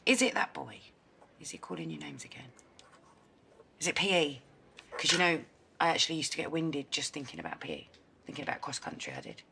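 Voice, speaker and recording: An actress in her thirties with a London accent, playing a blunt, protective, quick-tempered woman caring for a girl. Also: low voice, sing-song, vocal fry, a little hiss or hum, exaggerated voice. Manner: blunt, sharp-tongued, coaxing when gentle. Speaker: stepmother figure. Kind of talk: soap opera acting. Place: home living room and street.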